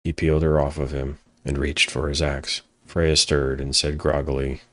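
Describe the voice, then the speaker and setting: A man narrating a scripted fantasy story in a solemn, measured manner. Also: very deep voice, little pitch movement, dry recording, clean studio sound.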